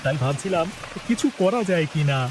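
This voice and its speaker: A man's voice: a little high, animated, brisk, very noisy, natural voice. A man voicing a crafty thief's plotting sidekick in a cartoon. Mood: scheming. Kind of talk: cartoon voice acting.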